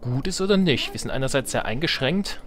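A man's voice: mid-range voice, expressive, brisk. A man giving casual let's play commentary, reacting aloud and muttering to himself as he plays. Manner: talks to himself, exclaims and mutters in reaction to the game. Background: game music.

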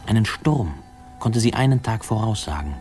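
An actor playing a man tenderly remembering his childhood, narrating a 1950s radio play in a mellow, reflective way. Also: fairly low pitch, animated, normal speed, natural voice. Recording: old radio play, music behind.

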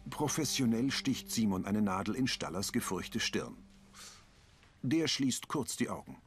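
A man reading a calm, matter-of-fact audio description of scenes for an accessible TV version. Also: on the low side, unhurried, slight background noise.

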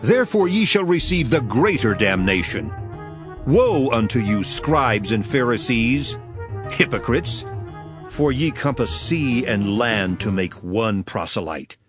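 A man's voice: medium pitch, expressive, measured pace, natural voice. A man acting a stern, authoritative teacher in a dramatized scripture reading, speaking with solemn, emphatic declamation. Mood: stern, rebuking. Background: background music.

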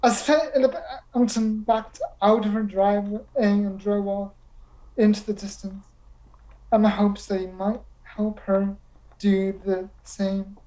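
A woman reads prose fiction over a video call. Her voice is soft-edged and mellow, and she goes slowly, halting on some words. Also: medium pitch, fairly even intonation, rough recording. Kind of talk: prose story reading.

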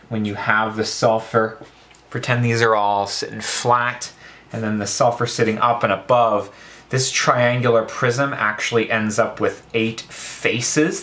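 A man's voice: mid-range voice, bright, forceful tone. A man tutoring chemistry in a casual, informal way, talking through a worked problem with playful cockiness.

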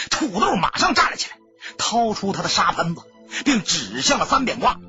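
A man's voice: on the high side, sharp tone. A man narrating an audiobook as a colloquial, emphatic, dramatic storyteller. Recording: dull lo-fi audio, music behind.

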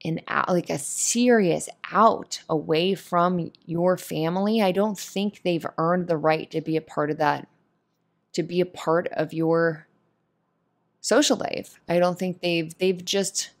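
A woman with a slightly low voice talks as if directly to one listener, giving comforting advice. Her manner is earnest and opinionated, and she leans on her points with emphasis in a casual monologue.

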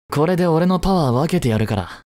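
Caring high-school boy next door , male actor, romantic audio drama; casual, reassuring, slow delivery, dry sound. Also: darkish tone, dry recording. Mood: tender, teasing. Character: dense, easygoing teenage boy, caring childhood friend. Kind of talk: Japanese romantic audio drama addressed to the listener.

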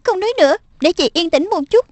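Elder-sister schoolgirl, voiced by a woman. High, dry-recorded, assertive and persuasive, for a children's cartoon dub. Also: animated, fast talker, a little mellow, no room sound. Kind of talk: Vietnamese-dubbed children's cartoon.

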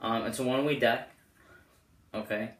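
A young man with a hoarse voice giving a casual, rambling product review to camera as the host. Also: mid-range voice, steady tone, normal speed. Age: young adult. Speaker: host of playing card deck reviews. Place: small bedroom, indoors. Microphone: camera mic a short way off. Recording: roomy echo, clear background noise.

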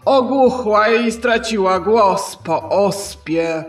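A man's voice: high voice, slow pace. A man voicing a concerned, kindly English pastor in a children's story. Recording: echoey, distant, music behind.

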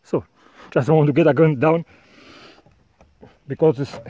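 A man in his thirties speaks slowly in a fairly high voice, touring his farm on a handheld phone camera. The sound is dull and dry, with no room echo. His phrasing is plain and non-native, broken up by easy chuckles. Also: warm tone, breathy edge. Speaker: farmer vlogger. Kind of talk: casual walk-and-talk farm tour. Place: outdoors on a hillside farm and inside a bird shed. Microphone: phone camera at arm's length.

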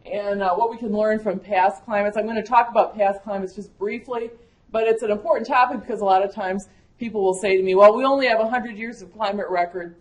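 A woman's voice: mid-range voice, fairly even intonation, fairly quick. A woman with a Wisconsin accent, giving a conversational conference lecture as an agricultural climatologist, with frequent fillers. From Michigan, USA. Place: conference room. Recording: echoey lecture room.

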